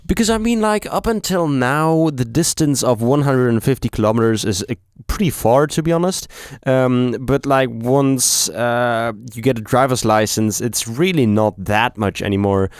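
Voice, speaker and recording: A man's voice: medium pitch, moderate pace, clear, resonant tone, no echo, clean studio sound. Rambling young German-accented man vlogging. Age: young adult. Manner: rambling, many fillers and restarts. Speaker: YouTuber. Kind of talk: casual vlog chat.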